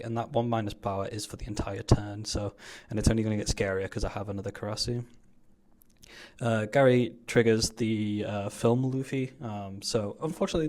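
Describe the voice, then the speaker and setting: Lower-pitched man casually commentating card gameplay. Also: a little mellow. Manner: informal, slangy, explanatory. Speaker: card game player commentating his own match.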